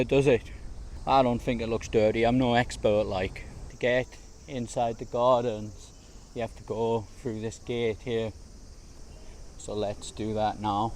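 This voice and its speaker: Male vlogger, slightly hoarse, casual and slangy, on a handheld camera outdoors with faint insect ambience behind him. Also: mid-range voice, a little mellow, a little hiss or hum. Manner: chatty, slangy, easygoing. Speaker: travel vlogger. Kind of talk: casual walking vlog narration. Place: outdoors in large city gardens, walking in heat.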